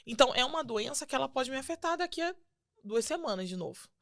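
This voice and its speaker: A young Brazilian woman talks through her faith story in a casual podcast chat, earnest and lively, slipping into quoted voices. Her voice sits a little high, and the sound is close and dry. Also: fast, no room sound. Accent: Brazilian Portuguese. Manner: earnest, animated retelling with acted quotes. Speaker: podcast guest, singer.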